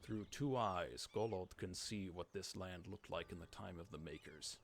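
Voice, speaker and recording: A man doing a dramatic reading as a grave, mournful fantasy storyteller, measured and solemn, for a video game. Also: deep voice, slight background noise, voice pushed down. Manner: solemn, measured, hushed at times.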